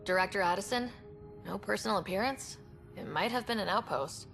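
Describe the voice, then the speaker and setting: A young female expedition leader from a sci-fi game, speaking at a middle pitch. She is earnest and measured, steady under pressure and diplomatic. Clear music plays behind her. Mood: earnest, firm. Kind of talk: video game cutscene acting.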